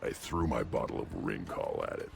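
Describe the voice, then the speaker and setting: An actor playing a huge young male alien brute in a video game, blunt and mischievous, growling deadpan in terse sentences. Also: normal speed, over music, full character voice. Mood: deadpan, amused. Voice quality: deep gravelly growl. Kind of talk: video game character dialogue.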